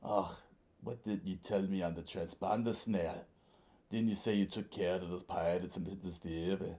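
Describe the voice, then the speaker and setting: A man's voice: sits low, steady tone, moderate pace, dark tone, muffled recording, natural voice. Man putting on a stern Scottish crime boss. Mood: menacing. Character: stern male crime boss, menacing and irritated.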